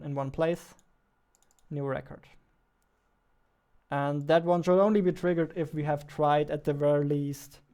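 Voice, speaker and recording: A man in his twenties talks casually, thinking aloud like a developer at his desk. His voice is fairly high for a man, clean and smooth. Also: a little mellow. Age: 20s. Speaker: game developer, video host. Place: small indoor room at a computer.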